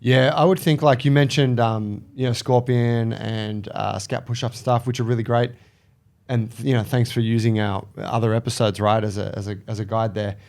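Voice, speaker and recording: A man's voice: mid-range voice, fairly even intonation, normal speed, vocal fry, a little mellow. A man co-hosting a podcast, talking in a loose, chatty way and swearing casually. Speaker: podcast co-host. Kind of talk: casual advice chat.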